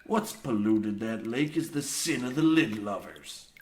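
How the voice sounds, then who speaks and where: An actor playing a fanatical old mine boss in a horror radio play parody, speaking in grandiose, archaic, rustic phrases. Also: male voice, lowish voice, animated, measured pace, a little mellow. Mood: solemn, ominous. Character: zealous old mine boss devoted to Mole King. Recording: echoey room sound.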